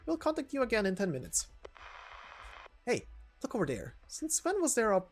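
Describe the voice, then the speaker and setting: A man's voice: sits high, huge pitch swings, normal speed, faint music behind, full character voice. A man voicing wary soldiers on a frozen border patrol in a game story, with terse, questioning military talk. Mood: puzzled, alert.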